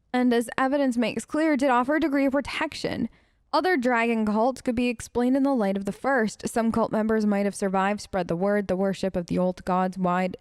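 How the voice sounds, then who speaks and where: A woman with a fairly high voice narrates a role-play game playthrough. She sounds conversational, as if thinking aloud, and is recorded close and dry. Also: darkish tone, clear, resonant tone, dry recording. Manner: conversational, musing aloud with rhetorical questions. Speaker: let's player who role-plays her character. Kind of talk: reading aloud and chatty gameplay commentary.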